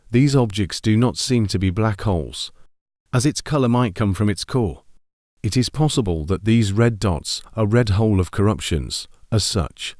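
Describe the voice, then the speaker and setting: A man playing a grave TV announcer, reading formal emergency bulletins in a measured, solemn manner. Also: lowish voice, a little mellow, no echo, studio quality, natural voice.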